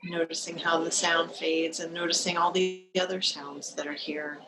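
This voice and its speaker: A woman leading a guided meditation as a teacher, speaking in a calm, gentle, unhurried manner with long pauses, over a video call. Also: on the low side, music in the background. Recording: noisy live video-call recording.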